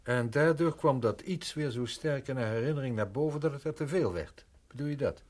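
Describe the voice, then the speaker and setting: Man acting a husky, puzzled guest. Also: medium pitch, fairly quick, darkish tone, lightly acted. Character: puzzled, probing male party guest. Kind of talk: radio drama acting.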